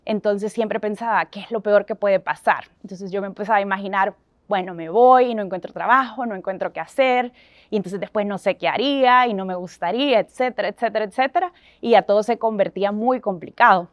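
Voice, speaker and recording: A woman's voice: medium pitch, a little fast, no echo, lo-fi sound. A woman in her thirties from El Salvador with a Salvadoran Spanish accent, giving an earnest conference talk with frequent restarts and English phrases mixed in. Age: 30s. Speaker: conference speaker. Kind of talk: motivational talk on stage.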